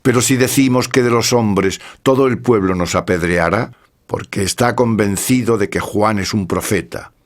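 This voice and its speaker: A man giving a scripted narration of scripture in a solemn, measured way. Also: on the low side.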